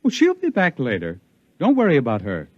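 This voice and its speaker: An actor playing an earnest, uneasy middle-aged captain in a 1950s radio drama, telling things in a halting, dramatic way. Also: male voice, medium pitch, wildly swooping pitch. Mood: uneasy, evasive. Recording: dull vintage radio recording.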